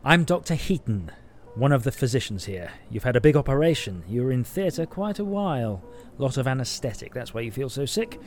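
A man playing a calm, frank hospital physician in an audio drama, explaining things in a measured, clinical way. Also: medium pitch, soft background music, natural voice. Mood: matter-of-fact. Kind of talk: naturalistic audio drama acting.

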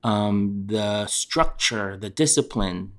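Low-voiced man, halting interview guest. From born in South Korea, raised in England and New York. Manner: hesitant, halting, with repeated restarts. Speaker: interview guest, chef and restaurant owner. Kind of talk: reflective conversational interview answers.

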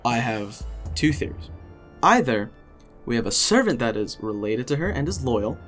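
A man's voice: medium pitch, big pitch swings, moderate pace, a bit bright, faint music behind. A man playing a nervous young servant in an audio drama, hesitant and stammering as he speaks to his master. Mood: cautiously confident.

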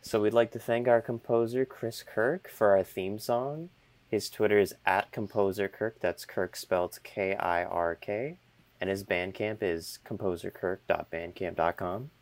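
A man's voice: fairly low pitch, steady tone, measured pace. A man in his twenties co-hosting a casual podcast with dry, teasing humor. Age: 20s. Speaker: podcast co-host.

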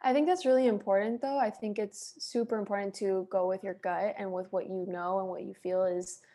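A woman's voice: mid-range voice, steady tone, fairly quick, warm tone. A woman hosting a casual interview, asking follow-up questions and encouraging her guest. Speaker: podcast host.

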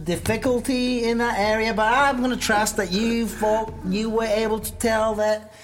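A player voicing a male commander with a Swiss accent, speaking English as a second language, probing with halting questions. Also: mid-range voice, fairly even intonation, soft background music, lightly acted. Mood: questioning. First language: not English. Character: male military commander overseeing ninja trainees. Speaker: commander character. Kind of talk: tabletop roleplay in character.

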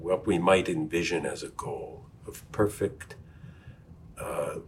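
A man's voice: low voice, takes it slowly, a little hiss or hum. A man who teaches, hosting an academic conversation and asking reflective, probing questions. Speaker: teacher, discussion host.

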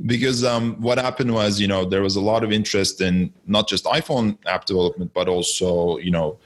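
A man from Turkey, around his forties, talks through his career as a podcast guest. His voice sits very low and deep. He thinks aloud and circles back on his own phrases. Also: steady tone, a little fast. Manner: reflective, storytelling, with frequent self-corrections. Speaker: guest, startup founder. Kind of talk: conversational interview.

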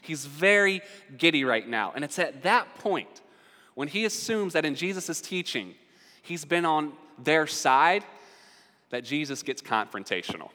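A man preaching a sermon as a pastor, conversational and quick to ask his listeners questions. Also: on the high side, big pitch swings, sharp tone. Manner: conversational, asks the audience questions. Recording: long hall reverb.

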